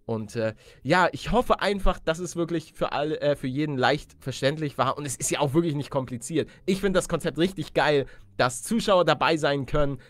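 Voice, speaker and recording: A man's voice: on the high side, normal speed, no echo. Casual man hosting gaming videos. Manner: informal, chatty, youthful slang. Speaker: let's-play host. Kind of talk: casual gaming commentary, addressing viewers.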